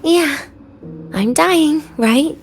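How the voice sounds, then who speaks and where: An actress playing a fragile, dying young woman in a video game, wry, weary and teasing, with a languid delivery full of pauses. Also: high voice, a little hiss or hum, full character voice.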